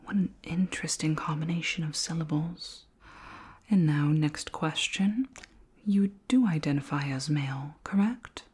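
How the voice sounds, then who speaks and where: A woman's voice: low-pitched, exaggerated voice. Woman playing a whispering, curious alien queen. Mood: inquisitive, clinical. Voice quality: soft, breathy whisper. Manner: slow, formal, deliberate questioning. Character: curious, polite, imperious female alien queen. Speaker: ASMR roleplay performer. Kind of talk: whispered ASMR roleplay.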